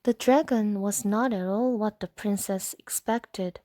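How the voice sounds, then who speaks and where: A woman gently and expressively reading a storybook aloud in a soft bedtime style. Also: medium pitch, a little slow, no echo, studio quality.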